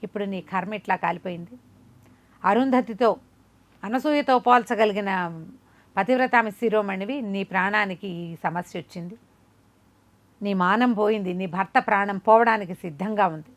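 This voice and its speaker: A woman's voice: medium pitch, moderate pace, pauses often, lightly acted. A woman storyteller voicing a grieving young maidservant in a folk tale, lamenting sorrowfully to her queen.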